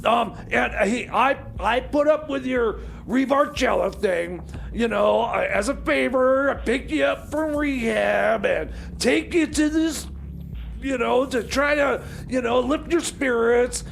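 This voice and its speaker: Very high, creaky, pushy mechanic. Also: male voice, a little slow, slight background noise, heavily acted. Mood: insistent, pleading. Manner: stammering, repetitive, pleading. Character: excitable, pushy, scatterbrained male time machine mechanic. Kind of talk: comedy radio theater.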